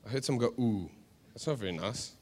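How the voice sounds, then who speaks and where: A South African man preaching conversationally to a congregation, animated, with joking asides and rhetorical questions. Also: medium pitch, very fast, slight background noise. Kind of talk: sermon to a live congregation, conversational storytelling.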